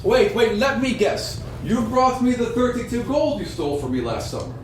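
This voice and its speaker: A man acts out an exasperated halfling aunt who runs a ship. She is sarcastic and bossy, with swooping pitch. It is a tabletop game recorded in an echoey room, a little off mic. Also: very animated, normal speed. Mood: sarcastic, scolding. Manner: sarcastic, scolding. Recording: roomy, distant mic, faint noise.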